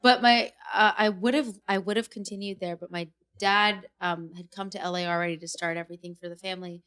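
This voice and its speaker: Animated young woman telling stories. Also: mid-range voice, brisk, clear, resonant tone. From Iran. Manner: animated storyteller, acts out quotes. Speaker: podcast guest. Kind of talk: casual chat.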